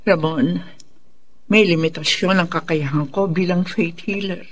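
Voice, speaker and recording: A performer playing a concerned, reluctant faith healer, speaking in a measured and grave way in a radio drama. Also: mid-range voice, steady tone, lightly acted. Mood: worried, reluctant.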